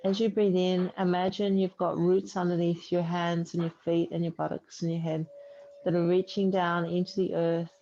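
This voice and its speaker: A woman yoga teacher leading a guided relaxation meditation in a soothing, unhurried way with long pauses. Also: lowish voice, little pitch movement, dark tone. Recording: dull muffled audio, faint background noise.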